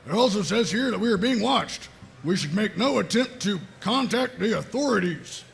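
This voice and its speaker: A man playing a gruff, bossy, callous military sergeant in a comedic table read, with a gravelly, blustering, emphatic delivery. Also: high-pitched, animated, normal speed, a little hiss or hum. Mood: blunt, dismissive.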